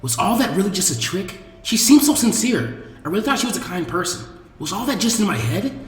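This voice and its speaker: A man's voice: high voice, big pitch swings, a little fast, echoey room, big-room sound, voice pushed up. A man voicing an impulsive boy ninja in a story reading, musing informally and with open emotion. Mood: hurt, doubting.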